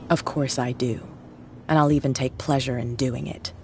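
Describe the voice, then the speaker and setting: A woman's voice: very deep voice, steady tone, normal speed, background noise. A woman voicing a ruthless bootlegger gang boss in a video game, cold, mocking and threatening. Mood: menacing.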